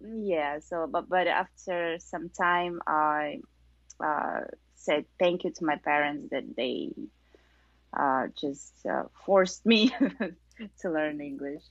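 A woman answers questions slowly in a somewhat low voice, pausing on fillers and laughing often. The sound is dull and dry, like a lo-fi call recording. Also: dry recording. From Kazakhstan. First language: Kazakh. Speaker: guest. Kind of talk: casual interview.

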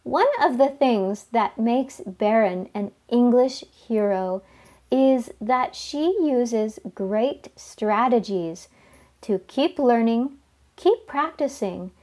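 A woman hosting an English-learning podcast, speaking slowly and clearly like a teacher interviewing a learner. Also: fairly high pitch, expressive, warm tone. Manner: slow, carefully enunciated teacher talk.

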